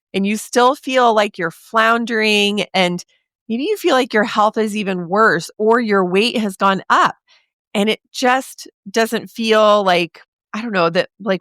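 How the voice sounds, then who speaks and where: A woman in her forties hosting a podcast, talking straight to the listener in a chatty, encouraging way, her voice a little raspy from a sore throat. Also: mid-range voice, fairly bright tone, no room sound, clean studio sound. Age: 40s. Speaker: podcast host. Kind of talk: casual podcast monologue.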